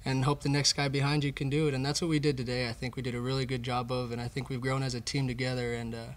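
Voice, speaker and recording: A man's voice: medium pitch, level tone, quick pace. A young man, a college baseball player, earnestly answering questions about his team at a press conference. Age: young adult. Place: indoor press conference room. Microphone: table microphone.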